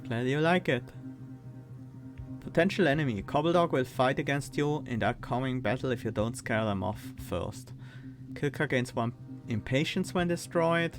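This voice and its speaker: A man with a Swiss accent, streaming a card game and thinking aloud, reading cards and weighing choices with pauses and fillers. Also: mid-range voice, lively intonation, faint music behind. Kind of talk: casual gameplay commentary.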